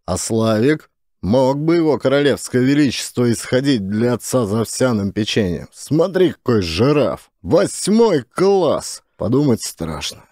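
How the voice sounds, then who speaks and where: A man's voice: mid-range voice, very animated, measured pace, a little mellow, dry recording, studio quality. A man voicing a peevish, self-important middle-aged father in a satirical audio story. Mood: indignant. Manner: peevish, demanding.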